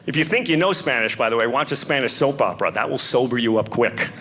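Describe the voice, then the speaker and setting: A man from New York City with a New York accent, doing animated, conversational stand-up comedy on stage. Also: mid-range voice, fairly quick. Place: stage before a live audience. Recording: stage mic with audience laughter.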